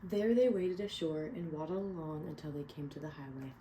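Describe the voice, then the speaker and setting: A woman reading a picture book aloud to children, pausing to ask them questions. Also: low-pitched, noisy background. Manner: gentle, asks children questions. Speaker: read-aloud storyteller.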